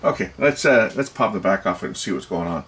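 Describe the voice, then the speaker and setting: A man giving casual hands-on repair commentary, thinking aloud and hesitating as he works, with a gravelly voice. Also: deep voice, expressive, fairly quick, fairly bright tone. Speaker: host repairing electronics. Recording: background noise with handling clicks.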